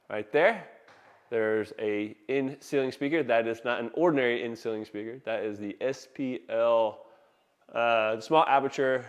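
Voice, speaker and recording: A man's voice: mid-range voice, smooth. A man presenting an audio installation in a casual, enthusiastic video walkthrough, talking informally like a salesman while filming by hand in a living room. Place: inside a large open living room. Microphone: handheld phone camera.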